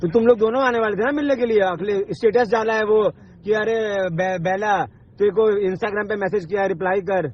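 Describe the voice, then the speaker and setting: Guy with a really high rough voice arguing on a muffled phone recording, pushy, keeps repeating himself. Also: brisk, hardly any pauses, slight background noise. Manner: confrontational, swearing, insistent, repeats himself. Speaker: online video maker. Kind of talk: heated argument on a phone call. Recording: dull lo-fi recorded phone call.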